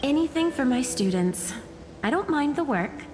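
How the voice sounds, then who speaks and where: A woman's voice: high-pitched, big pitch swings, moderate pace. A woman playing a kindly, devoted village schoolteacher in a fantasy video game. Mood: kindly, gentle. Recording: clear music behind.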